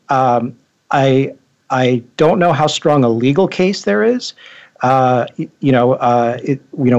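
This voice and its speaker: Male guest correspondent, average pitch, measured conversational commentary, slightly dull studio recording. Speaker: magazine editor, guest news correspondent. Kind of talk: conversational radio news commentary. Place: radio studio.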